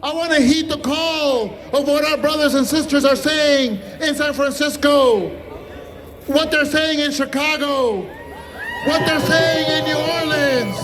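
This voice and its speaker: A man delivers an impassioned street protest speech. His voice is pushed very high and he spaces his phrases slowly for emphasis. A loud crowd surrounds him, with music behind. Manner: impassioned, declamatory, emphatic pauses. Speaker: protest speaker, activist. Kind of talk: rally speech.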